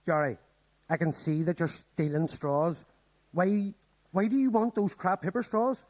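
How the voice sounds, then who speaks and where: A man's voice: high-pitched, fairly even intonation, a little slow, a little mellow. An actor playing an exasperated, sarcastic young man in a radio comedy play. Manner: flustered, sarcastic.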